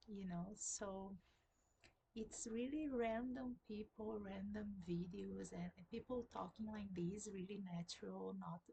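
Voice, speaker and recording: Slow, husky woman with a Portuguese accent. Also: medium pitch, poor audio. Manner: hesitant, many fillers and restarts, soft laughs. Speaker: book vlogger. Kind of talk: casual chat to camera. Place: at home in sunlight.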